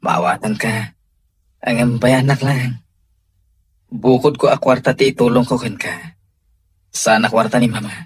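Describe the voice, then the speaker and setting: A man's voice: mid-range voice, unhurried, long pauses, slightly rough, dry recording. An actor with a Filipino accent playing a devoted, tender young man in a radio drama. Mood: tender, earnest.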